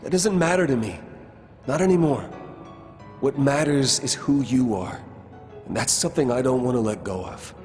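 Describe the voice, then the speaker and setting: A man's voice: medium pitch, lively intonation, normal speed, darkish tone, natural voice. An actor playing an earnest, casual, slightly goofy man in love in a video game cutscene. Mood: earnest, urgent. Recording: music behind the voice.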